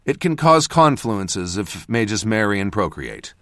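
A man's voice: lowish voice, big pitch swings, unhurried, a bit bright, dry recording, clean studio sound. A man voicing an earnest, defensive male mage mentor in an audiobook, given to careful explanation. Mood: explaining. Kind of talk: audiobook character voice.

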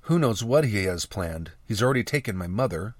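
A man's voice: on the low side, animated, a little fast, no room sound, studio quality. A man voicing an earnest, loyal young apprentice girl in a fantasy audiobook, with an eager, sincere manner. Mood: crestfallen.

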